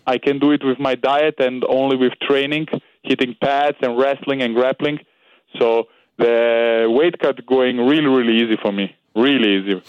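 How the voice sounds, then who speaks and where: Young austrian guy, german native, searching for english words, smooth voice, kinda muffled and dry. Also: mid-range voice, steady tone, dry recording. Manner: halting, searching for English words, many "you know"s. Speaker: guest fighter. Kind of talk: interview over a call.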